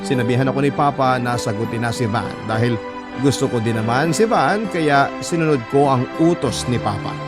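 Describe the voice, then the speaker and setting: A man's voice: medium pitch, a little fast. A man narrating a first-person story in a radio drama. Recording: loud music bed behind the voice.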